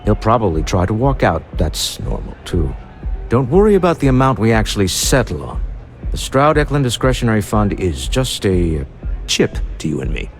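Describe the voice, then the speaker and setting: Suave low-voiced corporate executive. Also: male voice, huge pitch swings, moderate pace, soft background music. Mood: confident, amused. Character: suave, wealthy corporate executive, unflappable and wry negotiator. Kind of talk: video game dialogue acting.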